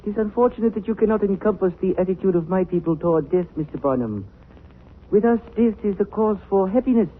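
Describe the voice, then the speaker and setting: An actor playing a suave, menacing smuggler in a 1940s radio drama, speaking with formal, ornate politeness. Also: male voice, high voice, expressive, quick pace. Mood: courtly, smooth. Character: suave, menacing male smuggler of narcotics with courtly speech. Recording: tinny, band-limited 1940s radio recording.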